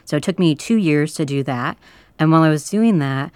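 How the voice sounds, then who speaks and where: Calm low-voiced woman, planetarium director. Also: brisk, clear, resonant tone, no room sound. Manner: calm, soothing. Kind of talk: calm interview talk. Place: planetarium dome.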